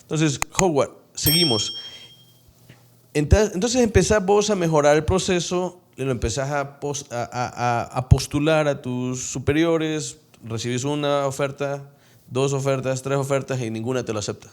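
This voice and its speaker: A man's voice: medium pitch, normal speed. A man, hosting an interview show in a casual, conversational style.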